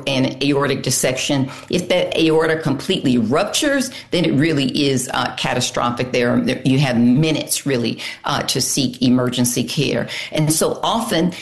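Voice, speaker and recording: A woman doctor answering interview questions, measured and careful, pausing with "uh". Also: sits low. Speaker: doctor, cardiology guest.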